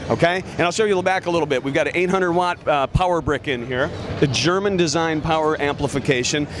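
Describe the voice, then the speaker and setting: A man's voice: a little high, quick pace. A man giving an informal, emphatic sales demonstration as a company representative in a conversational style. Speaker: loudspeaker company representative at a trade show. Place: busy indoor trade show floor. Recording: noisy trade show floor with urban-like background din.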